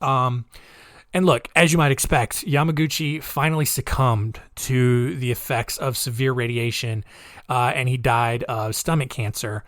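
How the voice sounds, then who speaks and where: A man's voice: medium pitch, unhurried, dry recording. Chatty male podcast host, dry sound. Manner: chatty, addresses listeners as guys, many ums and asides; animated, swings between loud emphasis and quiet asides. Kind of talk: casual storytelling podcast.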